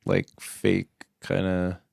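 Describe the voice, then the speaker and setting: A young man co-hosting a podcast, casual and agreeable, often chiming in with quick yeahs. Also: deep voice, monotone, vocal fry, darkish tone, dry recording, clean studio sound. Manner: agreeable, frequent backchannel yeahs. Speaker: podcast co-host. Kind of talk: casual chat.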